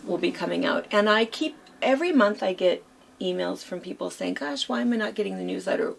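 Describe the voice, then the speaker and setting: A hoarse woman co-hosting a quilt shop chat, rambling casually with lots of fillers. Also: medium pitch, animated, fairly quick, slight background noise. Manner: chatty, rambling with many fillers.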